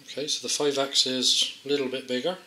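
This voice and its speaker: A man's voice: medium pitch, little pitch movement, brisk, sharp tone. A man giving a casual, unscripted hands-on product demonstration, thinking aloud in a hesitant, understated way. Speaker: amateur product tester presenting a gadget. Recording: roomy echo, faint background noise, clicks.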